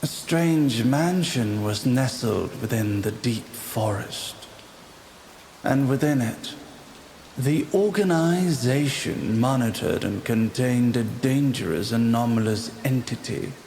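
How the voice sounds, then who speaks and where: Slow, ominous male narrator. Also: medium pitch, lively intonation, vocal fry, darkish tone, a little hiss or hum. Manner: measured, ominous storytelling. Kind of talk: scripted storybook-like suspense narration. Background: music.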